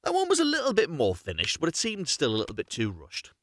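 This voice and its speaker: A man's voice: on the high side, huge pitch swings, fast, fairly bright tone, no echo, clean studio sound. A man hosting a live, unrehearsed story reading, narrating expressively, correcting himself and adding chatty asides. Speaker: host reading stories aloud on a livestream.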